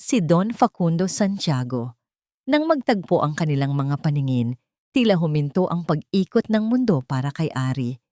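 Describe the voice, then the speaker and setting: A woman with a fairly low voice narrates a melodrama. Her delivery is scripted and dramatic, and the sound is very dry and close, free of room echo. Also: big pitch swings, moderate pace, mellow.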